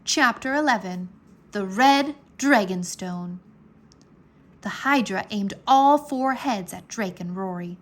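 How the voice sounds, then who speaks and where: A woman narrating a children's adventure story aloud in an expressive, measured, dramatic storytelling manner. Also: mid-range voice.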